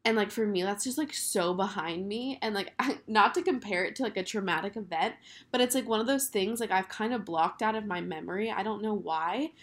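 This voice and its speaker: A woman in her twenties hosting her own podcast, talking casually with lots of fillers while hoarse from a cold. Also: mid-range voice, fairly quick. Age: 20s. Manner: chatty, filler-heavy, upbeat. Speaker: podcast host. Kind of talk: casual solo podcast chat.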